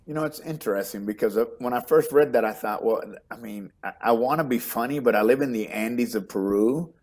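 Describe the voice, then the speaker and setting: A man's voice: mid-range voice, brisk, a little mellow. A middle-aged man with a Texas accent, a guest chatting casually on a video call, rambling and repeating words as he starts sentences. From Texas, United States. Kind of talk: casual interview chat. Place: home room, on video call.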